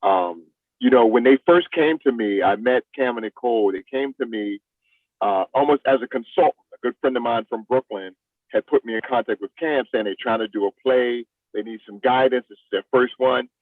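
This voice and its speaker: A man's voice: mid-range voice, brisk, no echo. A man gives a casual interview as a stage director and actor. He speaks with enthusiasm and likes to repeat a phrase for emphasis. The sound is narrow, like a phone call, with very little room in it. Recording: thin phone-like call audio.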